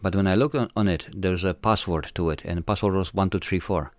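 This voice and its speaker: Polish filmmaker, 40s, low and smooth, halting answers full of fillers. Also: male voice, dry recording. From Poland. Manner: hesitant, many fillers and restarts. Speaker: filmmaker guest. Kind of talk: casual interview answers.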